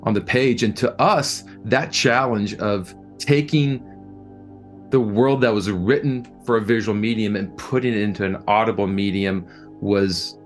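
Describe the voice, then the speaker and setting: A man's voice: fairly low pitch, measured pace, soft background music. A man answering interview questions as a producer, conversational and enthusiastic, often repeating words as he thinks. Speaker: film and audio drama producer being interviewed.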